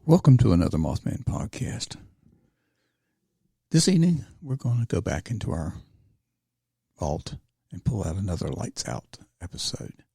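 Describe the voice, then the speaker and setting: A man's voice: low voice, very animated, moderate pace, vocal fry, pauses often, mellow, slightly rough, dry recording, studio quality. A man hosting a podcast, giving a scripted introduction. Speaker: podcast host. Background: faint music.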